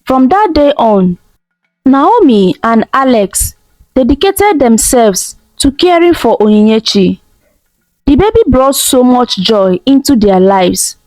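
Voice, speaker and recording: A woman narrating a folktale in a gentle, expressive way. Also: on the high side, slow pace, dry recording, low-quality recording. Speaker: storyteller. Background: soft music.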